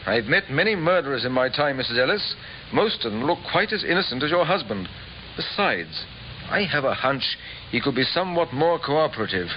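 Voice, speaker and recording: Man as probing police inspector, animated pitch. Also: lightly acted. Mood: dry, composed. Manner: measured, questioning, dry. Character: probing, composed male police inspector, politely firm. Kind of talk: 1950s radio drama acting. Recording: dull, noisy, echoey old radio recording.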